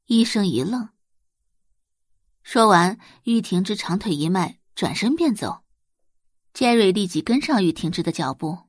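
Expressive woman narrating dramatic fiction. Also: medium pitch, unhurried, long pauses, no echo, studio quality. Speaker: audiobook narrator.

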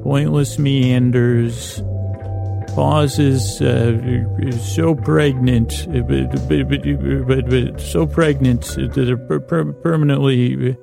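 A man hosting a bedtime sleep podcast in a creaky, gravelly voice, meandering and lulling, often halting and repeating himself. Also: medium pitch, steady tone, music in the background. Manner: lulling, soothing. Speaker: podcast host.

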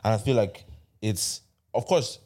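A young Irish man hosting a podcast, chatting casually in a group, animated and laughing often. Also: on the low side, measured pace, husky. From Ireland. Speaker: podcast host.

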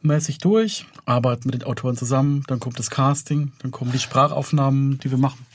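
A man's voice: mid-range voice, fast talker, slight background noise. A man speaking as an interview guest, explaining his work in casual conversation. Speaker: interview guest, audio drama producer and composer.

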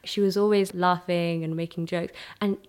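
A woman's voice: on the low side, fairly even intonation, normal speed, smooth. Young chatty woman from Ethiopia. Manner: chatty, frequent "like" fillers, warm recollection. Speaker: podcast host being interviewed. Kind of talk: casual conversational storytelling.

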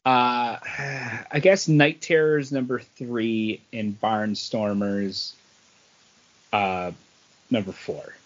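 A man's voice: mid-range voice, fairly even intonation, very slow, muffled recording. A man co-hosting a podcast, giving his opinions on comic books in casual chat.

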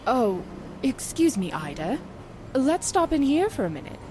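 A woman's voice: sits high, very animated, unhurried, background noise. A woman playing a mild, trusting small-town housewife with a hesitant, earnest manner in a 1940s-style radio drama. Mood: polite.

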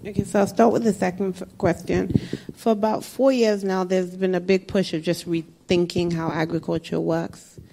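A woman answers audience questions at a talk in a candid, animated way, breaking off into quick asides and restarting her sentences. Her voice sits a little low for a woman. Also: vocal fry. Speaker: speaker on development finance and energy.

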